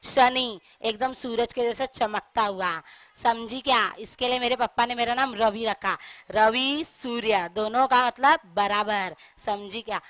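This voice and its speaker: Woman playing a sharp, domineering, impatient husband. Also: on the high side, a little slow, no room sound. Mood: boastful. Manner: insistent, boastful, blunt. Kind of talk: stage skit acting in dialogue.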